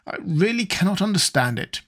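A man's voice: sits high, sing-song, a little fast, fairly bright tone, voice pushed up, exaggerated voice. A talkative elderly hostess with a raised, fussy voice and a sing-song lilt, full of exclamations, as a male reader puts her on. She scolds and teases her guests in a storybook dinner scene. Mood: incredulous. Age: older.

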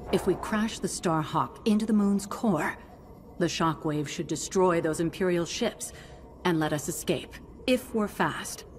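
A woman playing a grave, resolute alien general who briefs pilots in a measured, commanding way in a video game cutscene. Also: on the low side, a little hiss or hum, close to an everyday voice. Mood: urgent, resolute.

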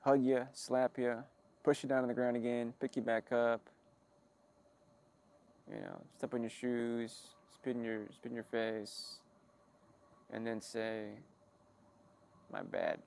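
A man with a creaky, gravelly edge speaks at a middling pitch in a rambling personal log. He is halting and wry, circling back on his own phrases and correcting himself as he goes. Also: level tone, a little mellow. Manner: halting, self-interrupting, wry and figurative. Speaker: creator of an audio log channel.

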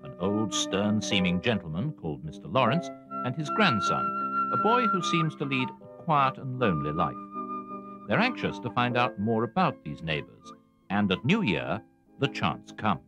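A man's voice: on the low side, wildly swooping pitch, moderate pace. A man reading scripted linking narration for an audiobook. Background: music.

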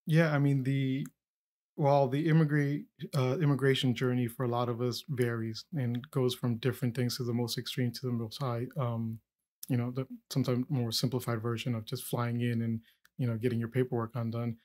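A man from Peru hosting a podcast interview, reflecting casually with halting restarts. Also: medium pitch, flat delivery, a little mellow. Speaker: podcast host. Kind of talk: conversational interview.

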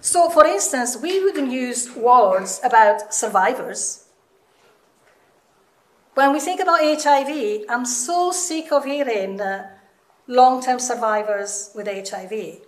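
Middle aged woman w southern european accent doing an informal seminar talk, highish voice, really echoey room. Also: expressive, takes it slowly. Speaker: seminar speaker, researcher. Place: indoor seminar room with seated audience. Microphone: microphone on a stand. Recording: echoey room, some distance from mic.

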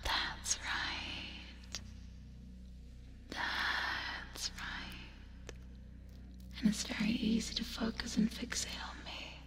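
A woman playing a teasing, creepy yet caring sleep paralysis demon in a breathy, soothing ASMR hypnosis roleplay, close to the microphone. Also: fairly high pitch, level tone. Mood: soothing, hypnotic. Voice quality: breathy, whispery. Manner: slow, soothing, coaxing with teasing asides. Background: music.

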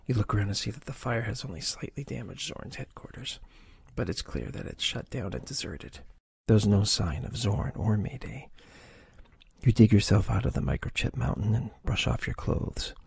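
A man reading an adventure gamebook aloud in a soft, breathy ASMR whisper, stopping to choose pages. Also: lowish voice, animated, normal speed, no echo. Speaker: reader of a choose-your-own-adventure book.

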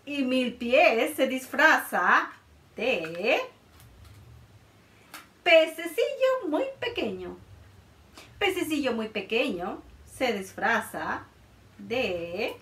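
A woman in her thirties reading a picture book aloud to children in a playful, expressive way, in a small room. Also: high-pitched, drawn-out words, pauses often, roomy sound, recorded from a distance. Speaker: children's librarian. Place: small room indoors, before a board.

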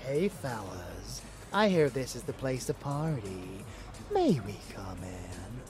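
A man's voice: medium pitch, sing-song, takes it slowly, music in the background. A man playing a cocky, murderous vampire lover in a roleplay audio drama, intimate, drawling and menacing, doting on the listener.

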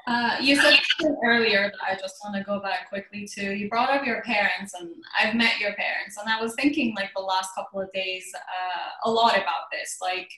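A woman's voice: mid-range voice, fairly quick, few pauses, pushed and bright, reverberant, rough recording. A woman hosting a podcast who asks long, rambling questions full of fillers. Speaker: podcast host. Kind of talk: casual interview questions.

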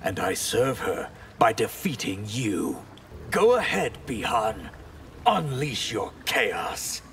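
A man voicing a proud, calm water mage warrior in a video game, measured and imperious. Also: medium pitch, distant mic, very noisy. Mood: proud, defiant. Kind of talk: video game character dialogue. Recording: music behind the voice.

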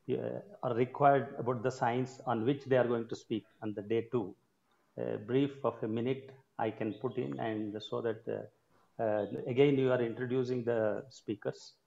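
A man in his sixties with an Indian English accent, giving halting keynote remarks full of filler sounds from a room at home. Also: mid-range voice, creaky, mellow. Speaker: conference advisor and retired sports coach. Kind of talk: keynote remarks at an online conference. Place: home room with shelves of trophies. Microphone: laptop or earphone mic on a video call. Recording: muffled video call audio.

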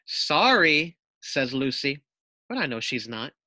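A man reading a children's picture book aloud as a teacher, expressively, pausing to put questions to his young listeners. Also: mid-range voice, fairly bright tone, dry recording.

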